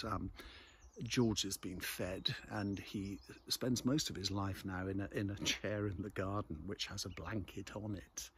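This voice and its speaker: A man with a deep, low-pitched voice chats casually, like a host talking to his viewers. He is unhurried and hesitant, wandering off his thread and back again. The recording is made outdoors, with faint ambient noise behind him. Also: fairly bright tone. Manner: unhurried, hesitant, easily sidetracked. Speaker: vlog host and local magazine columnist. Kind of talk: rambling casual morning chat to camera. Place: outdoors in the countryside above a valley.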